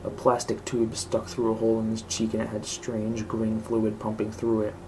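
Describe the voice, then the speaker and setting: A man's voice: fairly low pitch, completely flat delivery, normal speed. A man reading a scripted horror story aloud as narrator. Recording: clearly noisy home recording.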